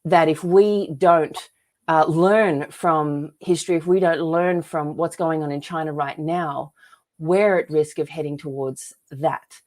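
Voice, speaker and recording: A woman's voice: deep voice, steady tone, a little slow, a little mellow. A woman with an Australian accent, speaking earnestly as a guest author in an interview, with frequent restarts and fillers. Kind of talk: interview conversation.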